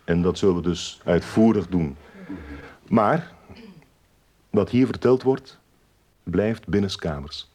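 An actor playing a reassuring, low-key support group coordinator addressing a meeting in a radio drama. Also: male voice, slow pace, long pauses, a little mellow, slightly rough, heavily acted. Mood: calm, reassuring.

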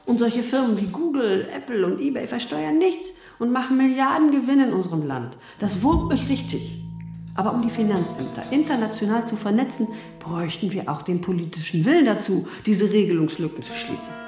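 Brisk bossy middle-aged clerk, animated tone, faint music behind. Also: female voice, a little high.

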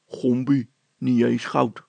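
Guy doing grumbly village cop, super croaky n hoarse, sarcastic, storybook style. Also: mid-range voice, level tone, takes it slowly, warm tone, dry recording, lo-fi sound, pitched below the performer's own voice, full character voice. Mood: sneering. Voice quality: hoarse, gravelly. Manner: sardonic, colloquial grumbling. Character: mocking, grumbling, chuckling village policeman. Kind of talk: children's story character acting.

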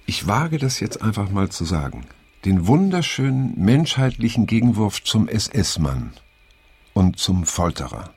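An actor playing a weary, reflective middle-aged man in naturalistic radio drama, speaking haltingly and pensively with a gravelly, husky voice. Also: on the low side, very animated, a little mellow, no echo, heavily acted. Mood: pensive, wistful. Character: weary middle-aged male survivor of a hippie circle, reflective.

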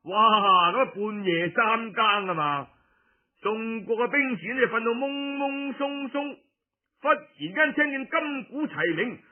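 A man with a Cantonese accent telling historical tales in a dramatic, colloquial and expressive storytelling style. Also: very high-pitched. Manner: colloquial, expressive narration with frequent drawn-out particles. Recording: muffled phone-like radio recording.

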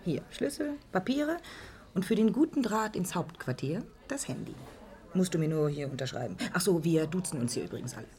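A woman playing a cheeky, sardonic receptionist in a radio drama, brisk and teasing with an ironic edge. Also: lowish voice, very animated, warm tone. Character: cheeky, sardonic Iranian-born woman receptionist at tech firm. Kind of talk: German radio drama acting.

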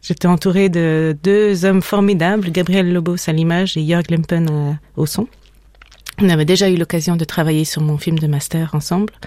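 A woman in her thirties from Switzerland and Denmark, a documentary filmmaker giving a radio interview in a calm, firm, plain-spoken way. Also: on the low side, moderate pace, no room sound. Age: 30s.

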